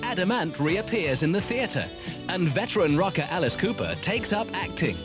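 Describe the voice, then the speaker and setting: A man's voice: high-pitched, sing-song, moderate pace, music in the background. A man reading a scripted promotional voice-over as a television trailer announcer.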